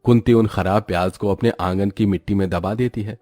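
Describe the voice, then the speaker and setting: A man's voice: on the low side, steady tone, a little fast, warm tone, no echo, soft background music. A man narrating a scripted cartoon moral story as its storyteller.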